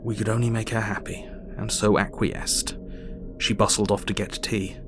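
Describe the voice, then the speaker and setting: A man playing an earnest Victorian doctor, narrating his diary in a measured, formal way for an audio drama. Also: on the low side, level tone, music in the background, close to an everyday voice. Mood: sober, tense.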